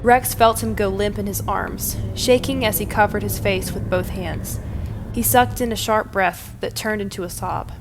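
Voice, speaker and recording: A woman's voice: medium pitch, moderate pace, rough recording. Woman narrating dramatic fiction over music. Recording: music bed under the narration.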